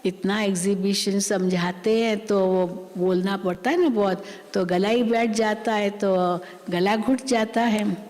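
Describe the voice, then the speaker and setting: Woman teacher preaching real slow, repeats herself, big hall echo. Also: medium pitch, steady tone, a little mellow. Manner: slow, repetitive, explanatory. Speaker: spiritual teacher. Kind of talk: sermon explaining a scripture reading. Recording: long hall reverb.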